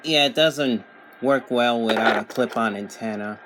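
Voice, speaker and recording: A man reviewing a product in a casual, hands-on way, matter-of-fact and a little halting. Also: medium pitch, background noise.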